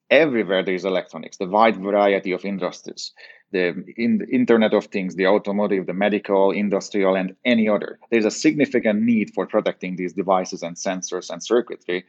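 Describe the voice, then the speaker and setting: A man's voice: deep voice, fairly even intonation, fairly quick. A man from Hungary with a Hungarian accent, explaining things as an engineering guest in an interview. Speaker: podcast guest, engineering executive. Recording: dull, lo-fi interview audio.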